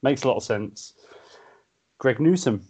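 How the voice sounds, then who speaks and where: A man co-hosting a casual podcast, relaxed and laughing often. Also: medium pitch, unhurried, slightly rough. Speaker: podcast co-host. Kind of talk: casual chat. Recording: dull lo-fi sound.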